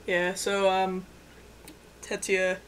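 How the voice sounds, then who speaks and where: A woman's voice: mid-range voice, monotone, drawn-out words, slight background noise. A woman co-hosting a casual, unscripted episode review, talking in a teasing, mocking way. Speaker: co-host of an anime episode review.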